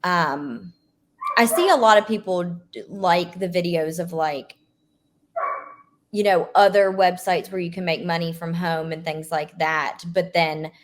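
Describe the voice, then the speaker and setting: A woman's voice: lowish voice, fairly even intonation, a little slow, a little hiss or hum. A mother speaking as a guest in a casual interview, chatty and full of fillers. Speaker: guest, mother who earns money from home.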